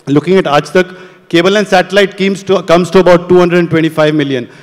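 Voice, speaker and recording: A man on a conference panel walking through audience figures quickly, with many fillers, on a handheld mic on stage. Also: sits high, steady tone. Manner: rapid, data-heavy delivery with fillers. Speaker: panelist presenting audience data. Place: large conference stage with a long reverb. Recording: hall reverb, stage PA.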